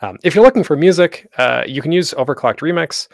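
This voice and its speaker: A man's voice: a little high, animated, a little fast, vocal fry, no room sound. Informal man presenting, close and dry. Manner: informal, rambling, self-interrupting. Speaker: panel host teaching audio drama production. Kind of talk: casual instructional presentation. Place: close, dry home recording for an online panel.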